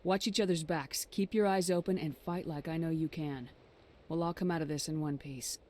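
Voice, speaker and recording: An actress playing a steady military commander in a video game, direct, commanding and reassuring. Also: female voice, sits low, moderate pace. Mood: firm, reassuring. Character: steady, confident military commander, an adult woman. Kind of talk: video game dialogue acting.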